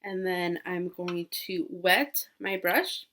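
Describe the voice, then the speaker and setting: A woman's voice: on the low side, takes it slowly, fairly bright tone. A woman in her twenties, sick with a cold, chatting casually through a makeup tutorial with many fillers and restarts. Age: 20s. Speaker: beauty vlogger.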